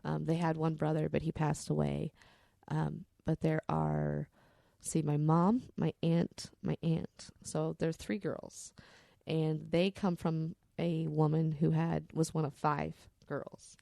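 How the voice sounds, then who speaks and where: A woman's voice: low voice, level tone, slow, gravelly, hardly any pauses, mellow, dry recording, studio quality. A woman podcast storyteller telling personal stories in a candid, rambling, salty-tongued way.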